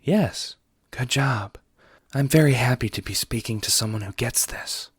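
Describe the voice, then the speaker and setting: A man voicing a blunt female alien troll time traveler in an audio drama, speaking formally and with measured care. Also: medium pitch, background noise, close to an everyday voice. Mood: patient, formal. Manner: formal, measured, few contractions.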